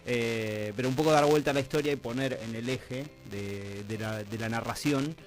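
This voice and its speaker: A man with an Argentine accent hosting a conversational radio interview, reflective and halting as he builds long questions. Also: mid-range voice, low-quality recording. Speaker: radio host interviewing guests. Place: radio studio.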